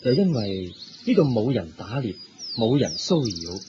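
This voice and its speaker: Very expressive, wise Buddha. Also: male voice, lowish voice, unhurried. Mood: storytelling. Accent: Hong Kong Cantonese. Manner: didactic, courteous. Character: wise, kindly Buddha telling moral tales to travellers. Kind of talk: Cantonese Buddhist radio drama acting. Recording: dull lo-fi recording. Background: prominent music.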